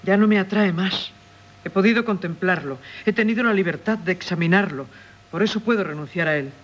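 An actress playing a passionate, yearning wife in a 1970s radio drama, fervent and theatrical, with a Castilian Spanish accent. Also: female voice, medium pitch, fairly quick, close to an everyday voice. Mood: resolute. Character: passionate, yearning doctor's wife, torn then resolute. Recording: muffled, slightly noisy 1970s radio recording.

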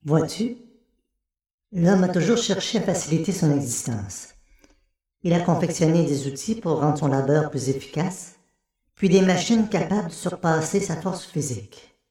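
A woman playing a calm young guide in an audio drama, explaining history in a measured way. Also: low-pitched. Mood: calm, explanatory. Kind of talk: French audio fiction acting. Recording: very echoey, reverberant room.

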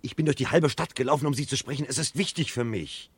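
A man's voice: medium pitch, quick pace, breathy edge, dry recording. An actor playing an anguished, desperate, brooding man in a German radio drama. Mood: impatient, urgent.

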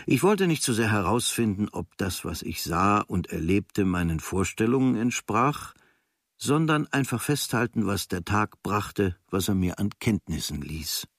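A man reading as a reflective, grateful writer looking back on his travels, in a measured, formal literary style. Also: on the low side, lively intonation, fairly bright tone, no room sound, clean studio sound, heavily acted. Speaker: reader of a writer's travel diary preface. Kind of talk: literary first-person reading of a memoir.

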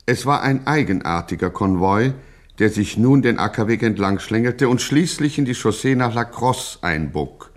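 A man's voice: lowish voice, animated, moderate pace, lightly acted. A man narrating a gangster satire as a mock-solemn storyteller in scripted radio style.

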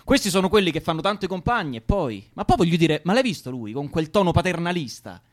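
An actor playing an irritable, bossy young militant with a Roman accent, arguing and swearing in a naturalistic radio drama. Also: male voice, fairly high pitch, sing-song, fast talker. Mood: indignant. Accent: Roman Italian. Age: young adult. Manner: foul-mouthed, argumentative.

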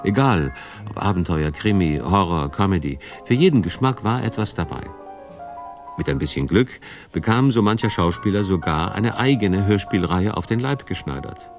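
A male narrator delivers a scripted radio feature. His voice is very deep, with music clearly playing behind him. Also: expressive, moderate pace. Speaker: documentary narrator.

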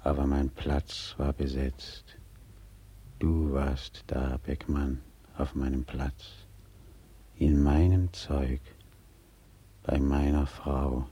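Male ghost of a drowned man, reproachful, bottomless pitch, dark timbre, old radio drama. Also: flat delivery, exaggerated voice. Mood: mournful reproach. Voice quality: very deep, husky, breathy at times. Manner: slow, deliberate, quietly accusing. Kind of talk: 1940s German radio drama acting. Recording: old 1940s radio recording.

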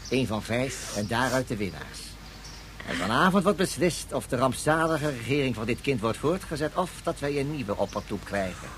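A man's voice: medium pitch, background noise, close to an everyday voice. A man playing a pedantic, obedient court official in a science fiction radio play, speaking formally and ceremoniously. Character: pedantic, obedient male court official, explaining strict protocol.

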